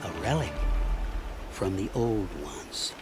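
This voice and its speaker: A man's voice: sits low, big pitch swings, slow, over music, full character voice. An actor playing a sly, ingratiating middle-aged trader in a video game, with theatrical salesman's patter. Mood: slyly ingratiating. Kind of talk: video game dialogue acting.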